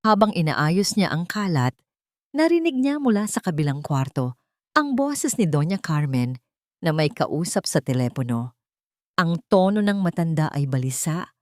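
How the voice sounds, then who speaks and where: A woman's voice: sits low, darkish tone, dry recording, studio quality. A woman reading a scripted, dramatic love story as narrator.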